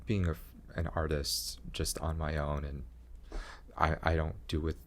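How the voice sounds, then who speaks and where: A young man talking slowly in a low voice with long pauses, chatting as a guest about his art and career. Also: flat delivery, slight background noise. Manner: slow, low-voiced, pausing talk. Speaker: comedian and graphic design teacher, guest. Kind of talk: casual interview chat.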